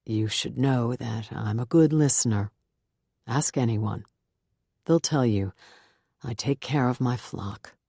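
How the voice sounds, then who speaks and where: A woman voicing a warm, patient male pastor who speaks gently and without hurry, in an audiobook. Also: a bass voice, dry recording, clean studio sound, pitched below the performer's own voice. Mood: gentle, reassuring. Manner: gentle, soothing and unhurried.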